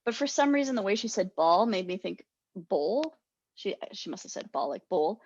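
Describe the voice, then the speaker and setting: A woman's voice: medium pitch, animated, moderate pace, darkish tone, slightly rough, lo-fi sound. A young adult woman co-hosting a podcast, telling a rambling, self-deprecating story with lots of laughter. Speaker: podcast co-host. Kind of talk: casual storytelling chat.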